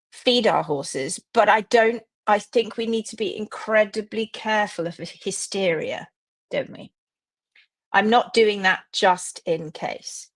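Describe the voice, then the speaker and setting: A woman's voice: medium pitch, fairly bright tone. A woman hosting a live stream, talking casually to viewers about feeding and greeting them by name. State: slightly croaky voice. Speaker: host of a live hoof care stream.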